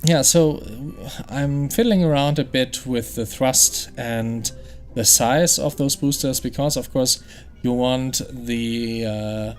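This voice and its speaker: Brief: gaming host, German accent, chatty, thinking aloud, average pitch. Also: male voice. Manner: explanatory, chatty, thinks aloud with fillers and restarts. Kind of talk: game playthrough commentary.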